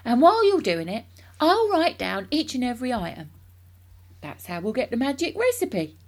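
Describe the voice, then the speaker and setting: A woman voicing a wildly excited, bossy father from a children's storybook, ranting about grand schemes in emphatic, impatient exclamations. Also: fairly high pitch, normal speed, voice pushed up, full character voice. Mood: impatient, urgent.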